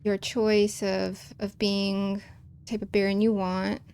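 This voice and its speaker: A woman's voice: medium pitch, little pitch movement, slow pace, vocal fry, a little mellow, smooth. Chicago-accented woman streamer, long vowels. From American Midwest. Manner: over-enunciates words, long vowels. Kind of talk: casual live-stream chat while playing a game.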